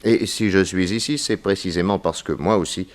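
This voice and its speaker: An actor playing a shrewd, unflappable private detective, probing and dryly confident, in 1960s French radio drama style. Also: male voice, low-pitched, moderate pace, close to an everyday voice.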